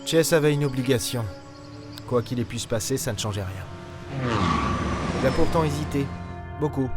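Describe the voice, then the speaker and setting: Sci-fi audio drama lead, male actor: world-weary, bitter captain, medium pitch, terse dramatic phrasing, music bed. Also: normal speed, close to an everyday voice. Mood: sombre, wistful. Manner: short, broken, weighty phrases. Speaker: lead character and narrator. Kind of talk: dramatic audio fiction narration. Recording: music behind the voice.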